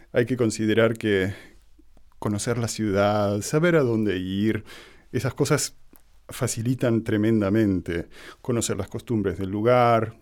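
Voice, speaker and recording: Low-voiced Argentine man in conversation. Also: darkish tone. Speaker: interview guest. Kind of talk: conversational radio interview monologue.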